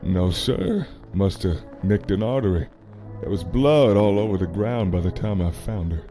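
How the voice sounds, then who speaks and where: An actor playing a plain-spoken, gallant adventurer in a radio play, with a folksy, courteous way of telling things. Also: male voice, sits low, normal speed, mellow, soft background music, close to an everyday voice. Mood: earnest.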